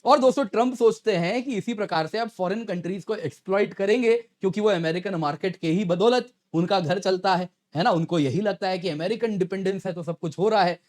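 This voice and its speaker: High-pitched man explaining news. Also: brisk, hardly any pauses, a little mellow. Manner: conversational, rhetorical questions, addresses viewers as friends. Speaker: current affairs educator and presenter. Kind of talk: explanatory news commentary to viewers.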